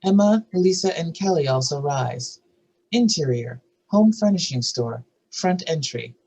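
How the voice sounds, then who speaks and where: Husky narrator reading screenplay directions. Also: medium pitch, animated, unhurried, mellow, poor audio. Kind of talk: scripted screenplay narration at a table read.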